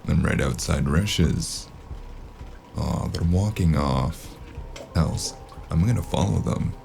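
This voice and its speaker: An actor playing a brooding male investigator who narrates a noir audio drama in a measured, gravelly voice. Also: a bass voice, a little mellow, a little hiss or hum, full character voice. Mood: hushed, casual.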